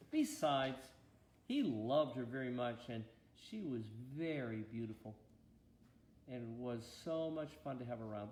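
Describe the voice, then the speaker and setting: A man reads a storybook aloud with a gravelly edge and very animated intonation. He paces it with deliberate pauses and slips in gentle asides to his listener. The room rings around him, with a faint layer of noise. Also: mid-range voice. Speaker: bishop reading aloud. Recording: roomy echo, faint background noise.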